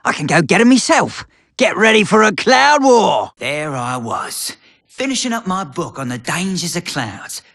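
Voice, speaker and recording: Paranoid, cantankerous old eccentric. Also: male voice, high voice, measured pace, gravelly, no echo, studio quality, full character voice. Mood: excitable, paranoid, then dramatic storytelling. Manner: folksy, rambling, excitable, grumbling. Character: eccentric, cloud-paranoid old man, cantankerous ranting inventor. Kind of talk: video game character acting.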